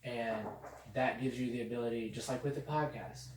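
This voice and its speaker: A young man co-hosting a casual podcast, talking in loose, filler-packed runs that pick up when he gets excited. He sits back from the mic in a bare, echoing room with a faint hiss behind him. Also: mid-range voice, normal speed. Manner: rambling, filler-heavy, excited about social media. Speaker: podcast host. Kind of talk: casual chat. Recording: echoey room, mic at a distance, faint noise.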